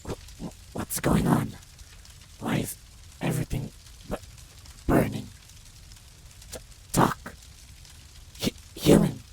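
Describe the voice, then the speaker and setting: A man's voice: slow pace, long pauses, darkish tone, slight background noise, close to an everyday voice, poor audio. A man playing a menacing, vengeful feral vampire in an audio roleplay, stammering in broken, growled words. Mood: halting, menacing. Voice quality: gravelly, creaky, breathy growl. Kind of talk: audio roleplay villain acting.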